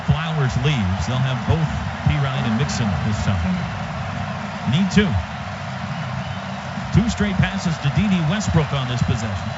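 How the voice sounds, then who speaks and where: A man's voice: medium pitch, measured pace, pauses often. An American man calling live football on the radio in clipped phrases that build with the play. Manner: clipped phrases, building excitement as plays unfold. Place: stadium radio booth. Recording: dull radio broadcast, loud stadium ambience. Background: loud outdoor stadium noise.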